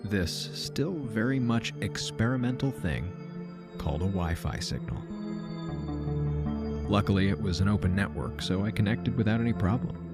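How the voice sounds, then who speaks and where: A wry uneasy traveler, low voice, deliberate first-person audio drama narration, music behind. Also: male voice, expressive. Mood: measured, wondering. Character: uneasy, wry male traveler narrating a simulation-hopping adventure.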